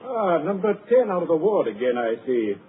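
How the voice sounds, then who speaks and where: An actor playing a brisk, condescending sanitarium doctor who turns smoothly polite, in a 1940s radio drama. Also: male voice, a little high, very animated, full character voice. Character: officious male doctor in charge of a sanitarium. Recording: tinny, faintly hissy 1940s radio broadcast.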